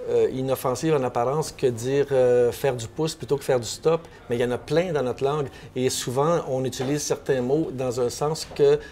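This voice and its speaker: A man's voice: mid-range voice, fairly even intonation, moderate pace, hardly any pauses, dark tone, a little hiss or hum. Hesitant Québécois man in a bookstore. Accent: Québécois French. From Quebec. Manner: thoughtful, hesitant with many restarts. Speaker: novelist and columnist guest. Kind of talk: panel discussion. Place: bookstore, indoors.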